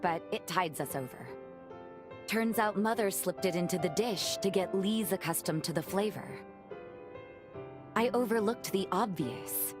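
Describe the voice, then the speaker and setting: A woman voicing a gentle young vampire in a video game cutscene, speaking politely and thoughtfully with formal wording and soft teasing. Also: mid-range voice, close to an everyday voice. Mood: delighted. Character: gentle revenant woman, a vampire who loves cooking.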